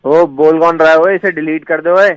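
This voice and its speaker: A man's voice: fairly high pitch, fairly even intonation, normal speed. A man on a phone call, arguing informally and bluntly in agitated street slang. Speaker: prank call victim. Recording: very noisy phone line.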